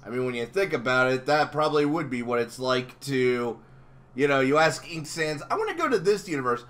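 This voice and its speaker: A man chatting casually as an interviewer, animated and halting in places. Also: mid-range voice. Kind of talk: casual fan chat.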